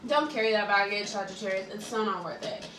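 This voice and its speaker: A chatty woman lays out tarot cards for her viewers, relaxed and full of little fillers. Her nose is a bit stuffy and her throat a little sore from allergies. The sound is echoey, with faint room hum underneath. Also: medium pitch, quick pace, far from the mic. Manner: chatty, relaxed, many likes and ums. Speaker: tarot reader and astrologer. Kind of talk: casual tarot reading for viewers.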